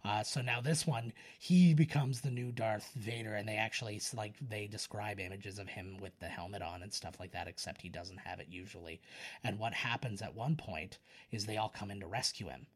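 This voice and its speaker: A man's voice: fairly low pitch, moderate pace, breathy edge. A man hosting a podcast, chatting casually and recounting lore in a rambling, enthusiastic, sweary way. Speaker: podcast host.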